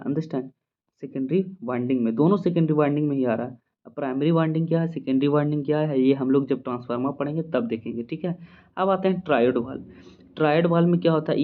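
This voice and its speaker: Through thin, phone-like audio, a man with an Indian accent teaches in an informal, step-by-step way. His voice sits at a middling pitch, and he repeats key points, slowing down for English definitions and often checking for understanding. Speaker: physiotherapy lecturer. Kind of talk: informal explanatory lecture, point by point.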